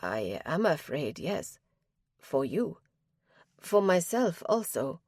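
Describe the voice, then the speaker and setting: A woman voicing a fearful young maid who speaks timidly and quietly. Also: deep voice, sing-song, slow pace, no room sound, clean studio sound, exaggerated voice. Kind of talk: audiobook character voice.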